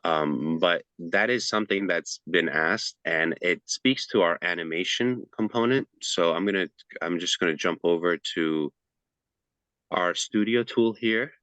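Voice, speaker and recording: A man's voice: low-pitched, measured pace, a bit bright, no echo. A man giving a casual presentation over a video call, chatty and loose, with frequent fillers and restarts.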